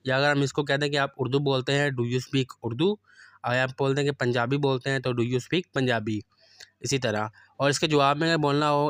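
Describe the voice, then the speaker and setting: A male English teacher walks through everyday phrases, giving each one in first. His voice is smooth and clear and sits at a middle pitch. The sound is dry and close, with the English words drawn out slowly. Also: fairly bright tone, dry recording. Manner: explains each phrase in Urdu, then says the English slowly and deliberately. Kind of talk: spoken language lesson.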